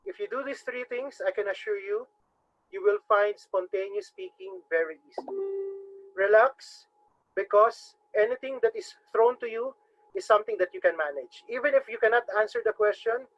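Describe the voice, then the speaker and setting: A man with a Filipino accent teaching a webinar, formally addressing the audience as ladies and gentlemen. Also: sits high, moderate pace. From Philippines. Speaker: webinar trainer. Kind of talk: instructional webinar talk. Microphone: video call microphone. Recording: dull lo-fi webinar audio.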